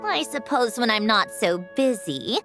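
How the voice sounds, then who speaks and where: A woman's voice: on the high side, lively intonation, unhurried, fairly bright tone. A woman voicing an aloof, reluctant young noblewoman in a video game, haughty in manner. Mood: aloof, flustered. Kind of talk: animated video game voice acting. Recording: music under the voice.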